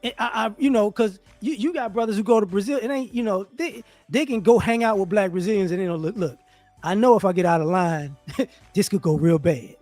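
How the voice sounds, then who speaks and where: High-voiced man, slangy and animated. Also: fairly quick, no echo, soft background music. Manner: slangy, animated storytelling with many restarts. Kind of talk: casual discussion. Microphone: remote call setup.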